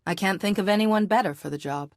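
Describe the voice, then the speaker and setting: A woman's voice: mid-range voice, fast, no echo, studio quality, close to an everyday voice. A woman playing a composed, direct veteran hero in a space fantasy game, cool and concise.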